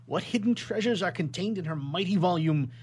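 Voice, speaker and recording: A man's voice: sits high, expressive, a little fast, a little mellow, husky. A man playing an obsessed young scientist in a radio drama, speaking in a grandiose, florid theatrical manner. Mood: rapt, absorbed.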